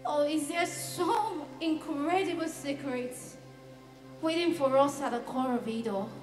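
An actress playing a serene, cryptic being from another world in a solemn dramatic monologue, with deliberate, portentous pauses. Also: female voice, high-pitched. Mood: solemn, probing. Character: serene otherworldly female being, cryptic, questioning humanity. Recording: roomy echo with faint music behind.

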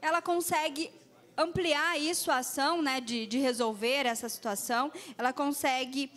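Earnest Brazilian councilwoman, high voice. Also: fairly even intonation, normal speed, a bit bright. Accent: Brazilian Portuguese, São Paulo state. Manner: earnest, searching for words with many fillers. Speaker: city councilwoman. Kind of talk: council floor speech. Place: large council chamber. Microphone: handheld microphone.

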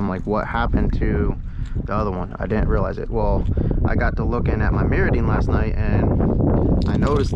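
A man's voice: fairly low pitch, highs cut off. A man vlogging from a kayak on open water, rambling and correcting himself as he talks viewers through his fishing. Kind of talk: casual narration while fishing. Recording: loud wind noise on open water. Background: loud wind and water noise.

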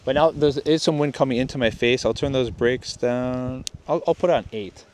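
A man's voice: mid-range voice, moderate pace, darkish tone. A young man hosting a fishing video, rambling through a casual gear test on a lakeshore and often restarting his sentences. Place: outdoors on a lakeshore. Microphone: chest-mounted camera.

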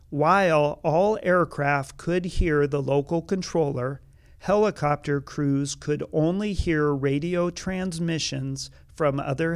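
A man reading formal scripted narration in a measured, neutral way. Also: a little high, vocal fry. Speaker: narrator of an official accident animation.

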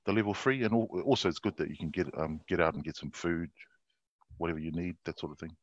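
Male guest, very deep voice, relaxed chat, dry muffled video-call sound. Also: quick pace, no room sound. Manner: relaxed, with some fillers.

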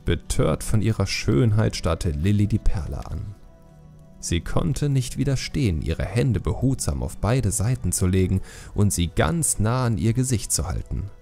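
A man's voice: lowish voice, big pitch swings, a little slow, creaky, dark tone, faint music behind. A man reading a scripted fantasy story as an audiobook narrator.

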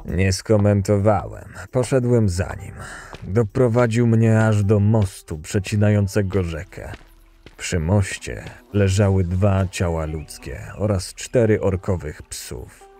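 A cool, cynical camp boss acted by a man narrates his own fantasy audio drama. His voice is low and mellow, dry and detached, over faint music. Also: slow pace.

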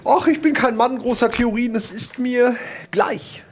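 A man voicing a world-weary, snobbish old aristocrat who talks in a halting, condescending, aloof way. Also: extremely high pitch, slightly rough, full character voice.

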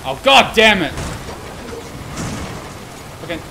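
A man's voice: high voice, very animated, slow pace, creaky, pushed and bright, slight background noise. A man giving casual gameplay commentary, muttering to himself and swearing in exasperation. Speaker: gaming streamer.

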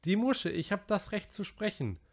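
A man's voice: very animated, moderate pace, exaggerated voice. A man doing Piggy, a whiny fretful schoolboy. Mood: worried. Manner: high, whiny and breathless pleading. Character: chubby anxious schoolboy, fretful and indignant.

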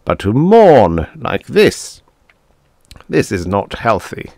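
A man's voice: fairly low pitch, wildly swooping pitch, slow pace, vocal fry, dark tone, no room sound, clean studio sound, voice pushed up, heavily acted. A man voicing a stout, jovial older male professor who talks in a hearty, overbearing way, chuckling and pushing his point. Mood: insistent.

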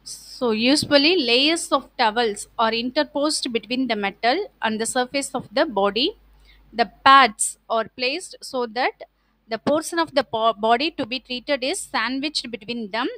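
A woman with a South Indian accent lecturing online from slides, explaining in a halting, repetitive way with frequent okays. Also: fairly high pitch, fairly bright tone, no echo, poor audio. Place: online video call with screen share.

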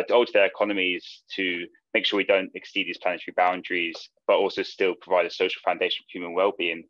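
A man's voice: low-pitched, no echo. A young man putting a semi-formal question as a seminar organizer over a video call from home. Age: young adult. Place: indoors in a home with bookshelves. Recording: muffled, dry video call.

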